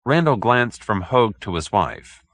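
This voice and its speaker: A man's voice: on the low side, big pitch swings, unhurried, fairly bright tone, no room sound. A man narrating fiction from a script as an audiobook reader.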